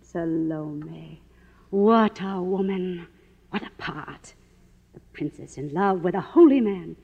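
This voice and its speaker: An actress playing a middle-aged, faded silent film star in a 1950s radio drama, grandiose, bitter and theatrical. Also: female voice, lowish voice, animated, takes it slowly, gravelly, breathy edge, narrow, tinny audio, full character voice. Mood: grandiose, rapt. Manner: theatrical, imperious, declamatory.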